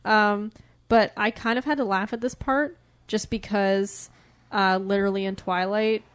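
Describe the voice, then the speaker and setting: A woman's voice: mid-range voice, fairly even intonation, moderate pace, clear, resonant tone. A woman co-hosting a podcast, bantering casually about books in a chatty, opinionated way. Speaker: podcast co-host. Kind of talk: casual book-discussion banter.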